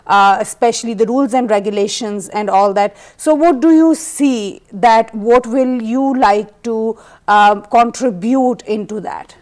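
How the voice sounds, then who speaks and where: A middle-aged woman hosting a TV studio interview, asking questions haltingly and searching for words, on a lapel mic. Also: a little high, a little mellow. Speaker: TV interviewer, host.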